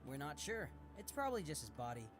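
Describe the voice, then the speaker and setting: Animated, eager young detective. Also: male voice, a little high, quick pace. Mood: matter-of-fact. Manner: enthusiastic, playful. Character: cheerful, eager young male detective with a camera. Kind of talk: anime visual novel voice acting.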